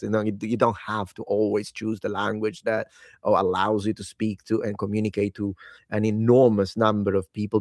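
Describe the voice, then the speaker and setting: A man whose first language is Italian chats late at night in a somewhat low voice. He rambles with excitement and catches and corrects himself mid-sentence. The sound is dry and close, with no room echo. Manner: enthusiastic, rambling, self-correcting. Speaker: language-learning video host. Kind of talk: casual solo talk to camera.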